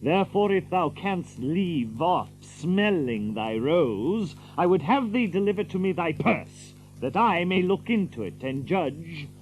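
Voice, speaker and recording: An actor playing a bold, hot-tempered outlaw leader in an archaic audio drama, with a blustering, declamatory manner. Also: male voice, a little high, very animated, a little slow, few pauses, dull audio, slight background noise. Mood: commanding.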